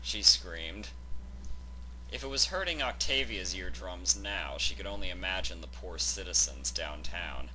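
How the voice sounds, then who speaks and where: Bright, forceful man narrating a story. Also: sits low, measured pace, slight background noise, poor audio. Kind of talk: scripted fan fiction narration.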